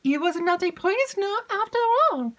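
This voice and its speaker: A giddy young servant girl, put on by a woman reader, spills her news in a high, squealing rush. Her voice leaps and swoops with every exclamation, and she can barely keep still for breath. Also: expressive, normal speed, fairly bright tone. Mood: excited. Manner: breathless, gushing, high-pitched. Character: excitable chattering young female servant.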